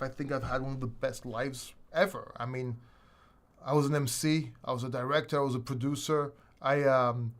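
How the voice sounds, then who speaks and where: A man's voice: mid-range voice, a bit bright. A middle-aged man from the UK with a mixed British and American accent, rambling and swearing casually as he hosts a livestream and answers viewers. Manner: rambling, swearing, lots of restarts. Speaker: crypto livestream host. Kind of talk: casual livestream chat reading viewer comments.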